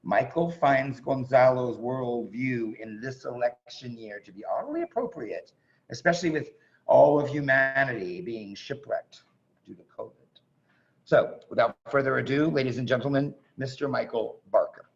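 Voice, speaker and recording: Theatre host: man, chatty and effusive, fry in the voice, informal introductions, muffled video-call sound. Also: mid-range voice, lively intonation, moderate pace. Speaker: host of a staged reading event.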